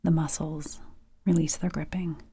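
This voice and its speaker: A woman leading a guided sleep meditation softly and gently, nearly whispering, in short phrases with pauses. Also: sits low, little pitch movement. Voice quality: breathy, soft, near-whispered.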